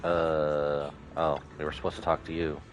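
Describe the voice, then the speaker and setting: A man's voice: a bass voice, level tone, normal speed, over music. A man giving casual gaming commentary, musing aloud and reading dialogue between his asides. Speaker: game streamer.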